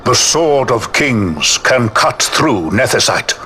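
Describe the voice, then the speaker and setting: An actor playing an ancient, serene non-human seer in a fantasy game, speaking solemnly with archaic, oracular phrasing. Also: male voice, medium pitch, big pitch swings, takes it slowly, sharp tone, soft background music, heavily acted. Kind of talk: dramatic video game voice acting.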